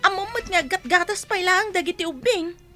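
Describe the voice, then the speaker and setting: A woman's voice: extremely high pitch, normal speed, pushed and bright, faint music behind. A woman acting a struggling young mother in a radio drama, plaintive and complaining as she pleads. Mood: upset, pleading.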